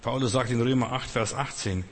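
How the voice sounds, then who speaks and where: A man's voice: medium pitch, fairly even intonation, a little fast, big-room sound, slight background noise. A man preaching off the cuff as a non-native speaker, emphatically repeating phrases and addressing his listeners directly. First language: not German. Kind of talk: extemporaneous sermon.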